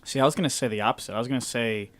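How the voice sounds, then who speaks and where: A man's voice: medium pitch, rapid-fire, a bit bright. A young man with a South Buffalo accent, co-hosting a casual sports podcast, eager and rambling, often repeating his words. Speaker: podcast co-host.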